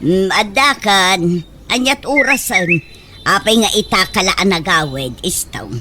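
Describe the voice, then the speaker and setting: A woman acting a chatty, scolding character in a comedic radio drama, with an Ilocano Filipino accent and expressive delivery. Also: medium pitch, measured pace, noisy background, close to an everyday voice. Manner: expressive, animated. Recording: loud music behind the voice.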